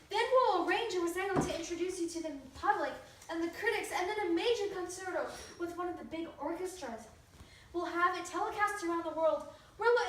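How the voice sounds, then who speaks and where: A woman's voice: very high voice, a bit bright. A woman acting an elderly, passionate piano virtuoso and teacher in readers theatre, with an effusive, theatrical manner. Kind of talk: amateur readers theatre performance. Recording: echoey room, distant mic, faint noise.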